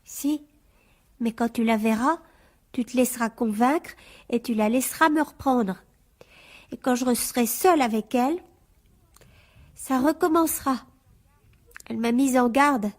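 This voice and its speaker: Frightened, high-voiced teenage schoolgirl. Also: measured pace, long pauses. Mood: frightened, insistent. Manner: anxious, pleading, insistent. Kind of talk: French radio drama acting.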